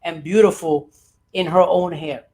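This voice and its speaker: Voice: mid-range voice, fairly even intonation, measured pace. A podcast host in conversation, affirming the guest and tagging points with "right?". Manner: warmly affirming, frequent "right?" tags. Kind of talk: conversational interview.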